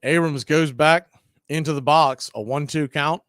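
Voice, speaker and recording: A man calling a live baseball game on radio, chatting and telling side stories between pitches. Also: steady tone, measured pace, a bit bright, smooth, no echo, studio quality. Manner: chatty, tells side stories and laughs at them. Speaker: radio play-by-play announcer. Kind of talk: live baseball play-by-play with casual chat.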